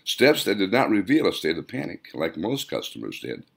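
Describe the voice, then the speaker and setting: A man narrates an audiobook in a deep, low register. The delivery is measured and deliberate, broken into short phrases, with lively intonation that moves widely up and down. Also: vocal fry, slightly rough. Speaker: author narrating their own audiobook.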